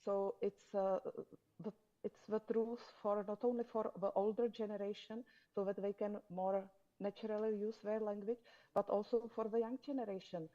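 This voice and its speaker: A woman whose first language is Czech, answering on a conference panel in a halting way with many fillers and restarts. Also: medium pitch, level tone, mellow, highs cut off. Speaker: conference panelist from a domain registry.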